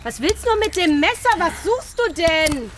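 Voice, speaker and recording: Radio drama role: puzzled female jogger, very high voice, questioning, noisy outdoor ambience. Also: sing-song, measured pace, a bit bright, heavily acted. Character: chatty, puzzled woman out jogging. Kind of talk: naturalistic German radio crime drama.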